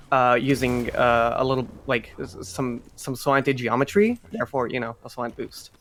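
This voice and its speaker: A man with a medium-pitched voice commentates on a video game speedrun. He explains things in a halting way, doubling back over his words. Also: a bit bright.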